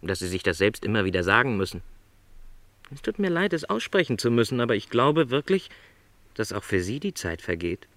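A middle-aged travelling salesman in a radio drama. His voice is on the low side and swings widely in pitch as he argues gently and earnestly. He is courteous and careful, in a very dry recording. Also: sing-song, fairly quick, no echo. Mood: insistent, regretful. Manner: courteous, careful, apologetic phrasing. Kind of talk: radio play dialogue.

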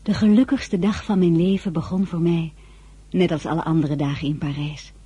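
A woman's voice: on the low side, fairly even intonation, normal speed, mellow, slightly rough, dry recording. An actress playing a spirited, stubborn young Frenchwoman who narrates her own story in a Dutch period radio drama. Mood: wistful narration.

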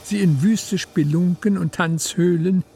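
Mellow, gravelly male narrator, higher voice. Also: a little slow, faint music behind. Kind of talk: scripted literary narration for a radio play.

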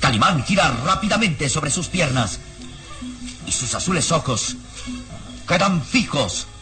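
A male narrator of an old adventure radio drama. His voice is on the high side and very animated, theatrical and emphatic, with clear music playing behind him. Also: a little slow.

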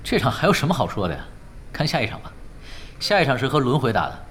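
Composed, dry-witted gaming strategist. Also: male voice, mid-range voice, big pitch swings, quick pace, a bit bright, faint music behind. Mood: dry, unbothered. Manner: matter-of-fact, dry, explanatory. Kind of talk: Chinese radio drama acting.

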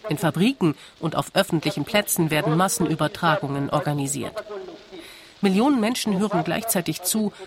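A narrator reading a scripted radio documentary. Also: mid-range voice.

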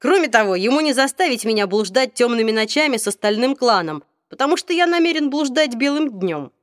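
A woman reading an audiobook, voicing a calm, wry teenage vampire boy in a lowered, easygoing voice. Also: a little fast, no echo, clean studio sound, close to an everyday voice.

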